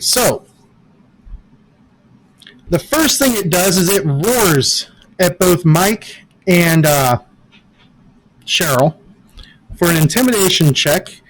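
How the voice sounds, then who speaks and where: A man's voice: sits high, a little hiss or hum, poor audio. A man acting as game master at a tabletop game, explaining the rules patiently and methodically.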